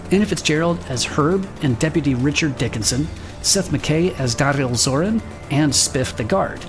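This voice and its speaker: Guy reading show credits, kinda higher voice, music clearly behind. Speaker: credits announcer. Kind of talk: scripted credits read.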